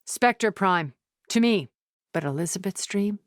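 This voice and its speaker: A woman acting an elegant, calculating, regretful centuries-old woman in a video game, speaking in a measured, deliberate and intense way. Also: mid-range voice, expressive, no echo, studio quality, close to an everyday voice. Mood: urgent, insistent.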